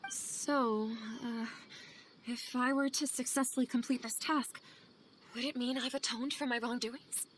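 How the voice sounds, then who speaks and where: A woman's voice: a little high, steady tone, a little slow, fairly bright tone, low-quality recording. An actress voicing a weary, anxious female scholar in video game dialogue, earnest, hesitant and confessional in manner. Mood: hesitant, penitent. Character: guilt-ridden female scholar, weary, anxious assistant to sage. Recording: game audio over clear ambient noise.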